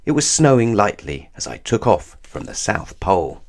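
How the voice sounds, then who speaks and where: Man, children's storybook narration, lowish voice, very varied intonation, deliberate emphasis. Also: big pitch swings, darkish tone. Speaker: storybook reader. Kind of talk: scripted children's story narration read aloud.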